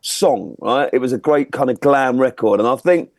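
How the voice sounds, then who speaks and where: A man's voice: mid-range voice, moderate pace, no echo, studio quality. A man giving his opinions as a music review panellist, in informal, jokey, matey chat.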